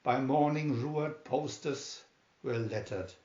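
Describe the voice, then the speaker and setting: Slow, theatrical male storybook narrator. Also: medium pitch, darkish tone. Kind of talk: dramatic scripted narration. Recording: dull, roomy home recording.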